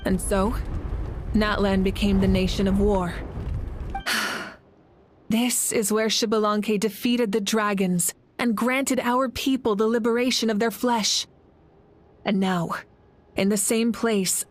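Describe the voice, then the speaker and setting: A woman playing a noble, resolute female war leader in a video game, speaking earnestly, steadily and calmly. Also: mid-range voice, measured pace, lightly acted. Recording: faint music behind.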